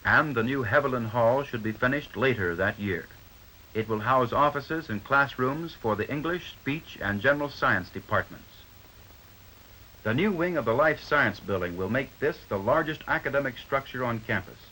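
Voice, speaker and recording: Bright-voiced American man narrating a 1950s newsreel. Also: medium pitch, animated, low-quality recording. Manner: formal, measured announcer delivery. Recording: 1950s newsreel soundtrack with faint noise.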